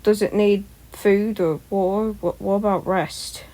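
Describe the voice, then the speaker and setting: A woman voicing a brash, energetic sixteen-year-old boy who boasts and rambles in an amateur story reading. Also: mid-range voice, unhurried, natural voice. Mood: curious. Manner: boastful, rambling, loud when excited.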